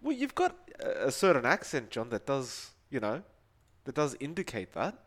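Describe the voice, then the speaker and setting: Australian male caster, chatty and jokey, mid-pitched play-by-play voice. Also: wildly swooping pitch, moderate pace. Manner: chatty, joking banter. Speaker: esports caster. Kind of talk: play-by-play commentary.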